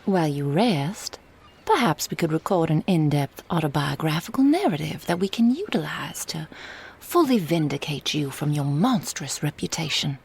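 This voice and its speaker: A woman's voice: low voice, sing-song, moderate pace, vocal fry, husky, pitched below the performer's own voice, heavily acted. A woman voicing a fawning, pompous publicist sidekick with flowery, teasing speech in an audio drama. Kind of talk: ASMR audio drama acting.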